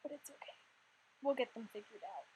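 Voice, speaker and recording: High-voiced, slightly hoarse young woman. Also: a little fast, a little mellow, a little hiss or hum, poor audio. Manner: rambling, filler-heavy, chatty. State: slightly hoarse, losing her voice. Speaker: Sims content creator. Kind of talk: casual gameplay voice-over.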